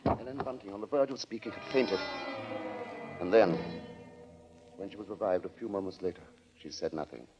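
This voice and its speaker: A man's voice: deep voice, moderate pace, long pauses, muffled recording, over music. A man narrating a radio suspense drama in a grave, theatrical 1940s style.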